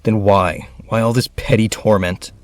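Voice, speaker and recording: Man playing a low-voiced, lonely teenage orphan. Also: steady tone, moderate pace, close to an everyday voice. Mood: earnest, frustrated. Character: lonely, grieving, earnest orphaned rich teenage boy. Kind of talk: audio drama acting.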